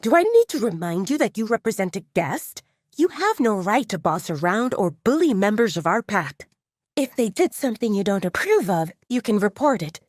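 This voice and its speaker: Woman acting female werewolf second-in-command, stern, protective, animated pitch, audio drama, very dry sound. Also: moderate pace, no echo. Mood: snapping, challenging. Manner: firm, sarcastic.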